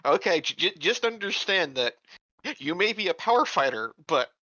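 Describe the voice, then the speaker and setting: A man's voice: sits high, sing-song, moderate pace, sharp tone, no echo, lo-fi sound. A man chatting casually as a player in a tabletop roleplaying game. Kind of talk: casual chat.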